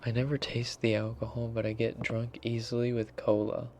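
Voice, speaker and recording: A sleepy man chatting quietly on a late-night stream, drifting into whispers. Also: medium pitch, completely flat delivery, normal speed, a little hiss or hum. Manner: drowsy, half-whispered. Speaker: ASMR streamer.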